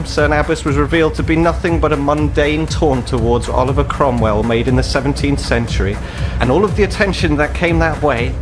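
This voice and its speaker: A man narrating a tabletop game as game master, in a wry, descriptive storytelling manner. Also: mid-range voice.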